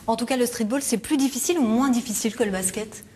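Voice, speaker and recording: A woman hosts a TV studio interview and talks at a quick clip. Her voice sits fairly high, and she steers her guest with leading questions. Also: mellow, husky. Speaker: TV presenter.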